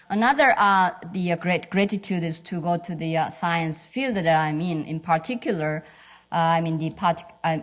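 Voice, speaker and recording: Korean lady scientist giving an informal talk, lowish voice, strong accent, halting english. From South Korea. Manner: halting, non-fluent English with dropped articles. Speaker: scientist speaking at a symposium.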